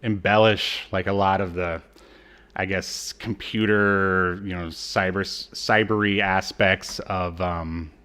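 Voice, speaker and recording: A man with a fairly low voice talks casually as a podcast co-host. He recaps in a loose, halting manner, letting phrases trail off and picking them up again. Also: steady tone, sharp tone, clear, resonant tone. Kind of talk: casual chat, recapping a TV episode.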